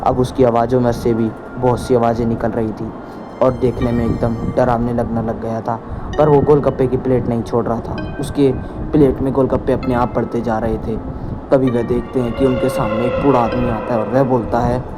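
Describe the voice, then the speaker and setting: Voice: medium pitch, little pitch movement, moderate pace, over music, low-quality recording. A narrator telling a horror cartoon story in a plain way.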